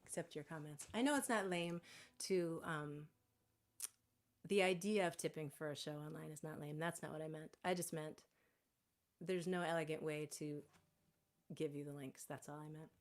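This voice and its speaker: A woman's voice: deep voice, moderate pace, pauses often, a little mellow. A woman singer-songwriter talking casually between songs on a live stream from her home, rambling and correcting herself, with a slightly scratchy voice. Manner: rambling, self-correcting, chatty.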